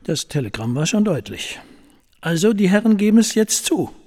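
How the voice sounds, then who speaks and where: An anxious political colleague in a radio play. His voice is husky and lively in pitch. He urges compromise, with long reverb around him. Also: normal speed. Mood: urging, conciliatory. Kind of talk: German radio drama acting.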